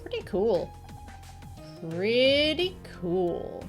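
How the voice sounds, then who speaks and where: A woman voicing a dim, smooth-talking flirtatious man in a visual novel game, with a suggestive drawl. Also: on the high side, sing-song, very slow, soft background music, heavily acted. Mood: flirty, cool.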